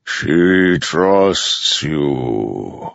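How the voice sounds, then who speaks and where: A man voicing an ancient male storm god in an audiobook, deep, slow and mournful, with a roaring grave tone. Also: sing-song, creaky, a bit bright, no echo, lo-fi sound, exaggerated voice.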